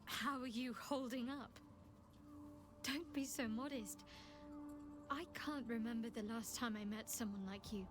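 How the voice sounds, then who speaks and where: A woman playing a soft-hearted, admiring companion in a video game. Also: fairly high pitch, a little slow, long pauses, close to an everyday voice. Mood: tender. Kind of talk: video game character acting. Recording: loud music behind the voice.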